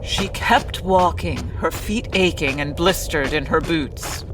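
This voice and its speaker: A woman's voice: deep voice, slow, over music. A woman playing a grave, slyly teasing narrator of a frontier adventure in an old-time radio comedy. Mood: sly menace. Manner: grave, theatrical, sly.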